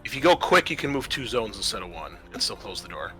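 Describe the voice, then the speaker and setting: A man's voice: on the low side, big pitch swings, fast, a bit bright. A man acting as game master, narrating a tabletop game in a casual, bantering way. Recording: music behind the voice. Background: music.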